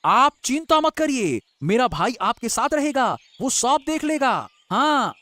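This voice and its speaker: An actor playing a wily, flattering male villager who feigns humble concern in a melodramatic story, theatrical and obsequious. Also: high-pitched, a little slow, heavily acted. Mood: feigned helpfulness. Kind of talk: melodramatic storybook audio drama.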